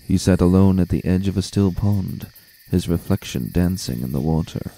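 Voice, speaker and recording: A man narrating a story dramatically in a hushed, atmospheric way. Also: sits low, moderate pace, vocal fry, poor audio.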